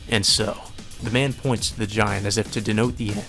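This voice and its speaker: Guy with a lowish voice narrating a visual novel, solemn and dramatic, music playing behind. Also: steady tone, moderate pace, gravelly, pushed and bright.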